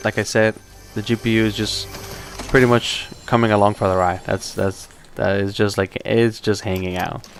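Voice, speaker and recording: A man with a slight accent giving relaxed, rambling commentary while streaming a game live, often restarting his sentences. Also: fairly low pitch, moderate pace, faint music behind. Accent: non-native English accent. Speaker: tech streamer.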